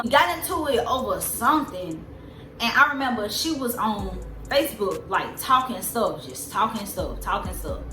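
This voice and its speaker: A woman in her twenties with a soft, squeaky voice, telling a story in a casual, rambling way with lots of fillers. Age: 20s. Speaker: vlogger. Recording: roomy echo with loud music behind. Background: music.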